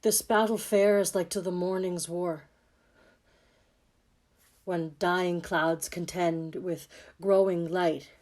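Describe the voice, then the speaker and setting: A woman playing a gentle, war-weary king in a Shakespearean audio drama, speaking mournful, measured verse. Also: on the low side, fairly even intonation. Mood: melancholy musing.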